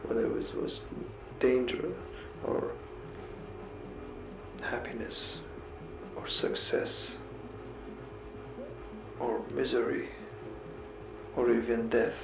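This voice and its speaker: A man with a heavily creaky, gravelly voice speaks slowly in an earnest, confessional monologue. He halts and repeats phrases as he reflects, in a roomy, echoing space with music underneath. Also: mid-range voice, wildly swooping pitch, background noise. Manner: halting, repeats words and phrases, pausing mid-sentence.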